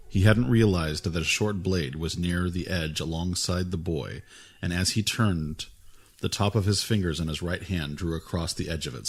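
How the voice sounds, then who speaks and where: A man reading a fantasy story aloud in a calm, even way, with a husky voice. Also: low-pitched. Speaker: narrator. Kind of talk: storybook narration.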